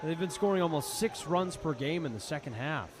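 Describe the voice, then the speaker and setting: A man with a slightly high voice gives color commentary on a baseball game. He talks conversationally, reflecting on players and explaining the play. Clear outdoor ballpark noise sits behind him. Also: expressive, brisk. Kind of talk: live radio sports analysis, conversational. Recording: live ballpark broadcast with clear background noise. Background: outdoor ballpark ambience.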